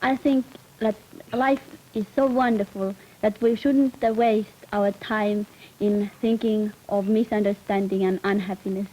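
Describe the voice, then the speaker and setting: Husky-voiced teenage girl. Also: medium pitch, steady tone, unhurried, a little mellow, poor audio. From Finland. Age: teens. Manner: hesitant, with many fillers. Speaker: student panelist.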